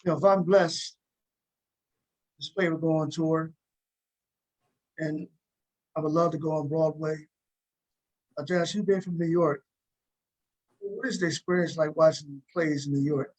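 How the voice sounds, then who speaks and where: A man's voice: on the high side, fairly even intonation, unhurried, long pauses, darkish tone, poor audio. An American man hosting a casual interview over a video call. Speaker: host and playwright interviewing cast.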